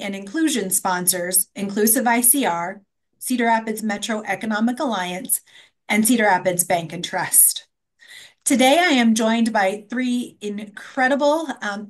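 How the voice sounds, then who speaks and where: A woman moderating a panel discussion at a conference, reading out introductions and housekeeping notes. She pauses often on ums and keeps a steady, middling pitch. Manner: reads introductions with frequent ums.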